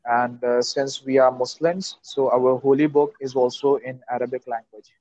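A man in his thirties from Pakistan, an Urdu speaker, talking on an earphone mic over a video call, measured with frequent uh pauses. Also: medium pitch, steady tone, a little mellow, dry recording. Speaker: English learner and channel host. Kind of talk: casual video call conversation. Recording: dull, dry video call audio.

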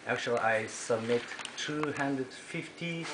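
Halting Japanese-accented man, echoey living room. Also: mid-range voice. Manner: halting, with frequent throat-clearing and filler pauses. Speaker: member sharing a testimony. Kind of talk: personal testimony to a small group. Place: living room at a home gathering. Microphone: camera across the room. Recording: echoey room with background noise.

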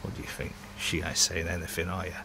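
A man's voice: low voice, monotone, quick pace, vocal fry, sharp tone, a little hiss or hum. A man, rambling casually and self-mockingly while filming his home brewery workshop on a handheld phone.

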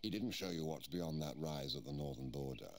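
A man's voice: extremely low pitch, fairly quick. An actor playing a jealous, scheming male lion uncle, speaking in a sly, drawling manner. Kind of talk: storybook character voice. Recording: music playing behind.

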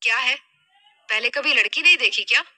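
A feisty young woman played by a female narrator from an audio drama. She is sarcastic and teasing, with a sharp, very bright and high voice. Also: normal speed. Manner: annoyed, teasing.

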